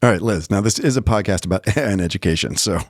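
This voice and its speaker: Deep-voiced man co-hosting a podcast. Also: quick pace, dry recording, studio quality. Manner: informal, thinking aloud, rhetorical questions. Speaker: podcast co-host. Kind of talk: conversational podcast discussion.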